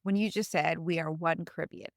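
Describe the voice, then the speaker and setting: A woman from Canada hosting a conversational podcast, phrasing her thoughts in a thoughtful, measured way. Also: sits low, fairly even intonation, gravelly, dry recording, studio quality. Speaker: podcast host.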